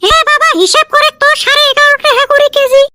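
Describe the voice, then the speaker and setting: Squeaky, nagging cartoon village wife, western Assam accent. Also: female voice, fairly even intonation, fast talker, background noise. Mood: bossy. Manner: complaining, melodramatic. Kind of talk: comedy cartoon dubbing.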